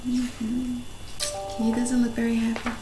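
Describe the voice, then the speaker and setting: A woman hosting a children's read-aloud, making expressive asides with oohs, hmms and questions to her young listeners. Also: fairly high pitch, a little slow, over music. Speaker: storytime host reading a picture book to children. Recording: echoey room, faint noise.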